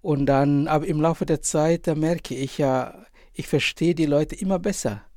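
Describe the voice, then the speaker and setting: A man in his forties from Indonesia, a priest and author, answering interview questions in German with an Indonesian accent, pausing often and searching for words. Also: a little high, steady tone, mellow, no room sound, clean studio sound. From Indonesia, island of Sumba. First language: Indonesian language. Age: 40s. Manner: halting, many pauses and fillers, searching for words.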